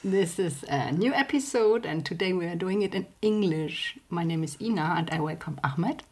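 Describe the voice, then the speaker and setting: A woman hosts an informal podcast interview. Her voice sits a little lower than most women's. She talks to her guests in a simple, conversational way. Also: lively intonation, normal speed, a little mellow, faint music behind. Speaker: podcast host.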